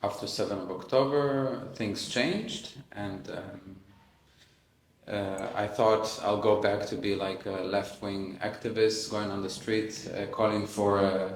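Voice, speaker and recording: A man from Israel gives his account as an activist on a panel. He talks very slowly and hesitantly in a lowish voice, heard in an echoing room. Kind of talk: personal testimony at a panel discussion. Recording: echoey room with faint background noise.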